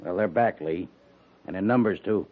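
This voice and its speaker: Sardonic cavalry major, tinny radio. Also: male voice, sits low, normal speed. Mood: dry reproof. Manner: formal, commanding, dryly reproving. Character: brisk, sardonic cavalry major giving orders. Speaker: actor in a radio drama. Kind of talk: 1950s Western radio drama acting. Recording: thin, phone-like 1950s radio recording.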